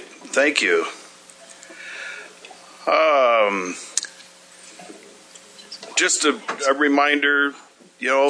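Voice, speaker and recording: A man chairing a legislative committee hearing in a hearing room, easygoing and folksy, speaking into a desk microphone with plenty of filler pauses. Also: medium pitch, sharp tone, slight background noise.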